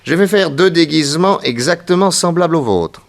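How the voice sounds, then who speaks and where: An actor playing a confident, authoritative detective hero in a theatrical 1960s French radio serial. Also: male voice, a little high, lively intonation, normal speed, vocal fry. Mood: assured, explanatory. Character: confident, commanding male private detective hero.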